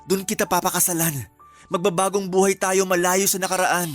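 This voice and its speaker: An actor playing a desperate, lovestruck groom, pleading earnestly in a Filipino radio-style romantic drama. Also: male voice, high voice, flat delivery, fairly quick, faint music behind, close to an everyday voice. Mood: impassioned, urgent. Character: desperate, lovestruck groom begging his lover to run away.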